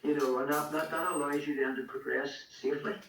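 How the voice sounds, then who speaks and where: A man speaks as a guest, earnest and encouraging, doubling back on his words. His voice is bright and sits a little high. He sounds distant from the mic in an echoing room. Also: little pitch movement, fast talker, slight background noise. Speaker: guest, strongman coach. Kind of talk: casual interview chat.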